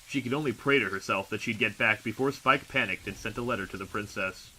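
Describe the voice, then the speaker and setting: A man reading a scripted story as a narrator, with expressive, dramatic delivery. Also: on the low side, fast talker, background noise. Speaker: audiobook narrator.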